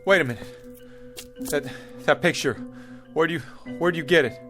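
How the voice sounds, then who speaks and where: A bewildered pioneer man out of the 1840s in a radio drama. His voice sits on the high side for a man, and he speaks haltingly, repeating himself in desperate agitation. Faint music plays behind him. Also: pushed and bright. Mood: baffled, demanding.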